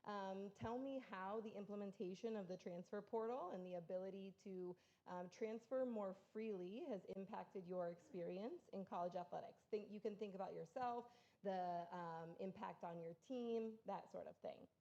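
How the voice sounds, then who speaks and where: A woman's voice: medium pitch, normal speed, dark tone, lo-fi sound. A woman who researches college sport, moderating a conference panel in a friendly, organized way with frequent ums. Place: conference room at a university.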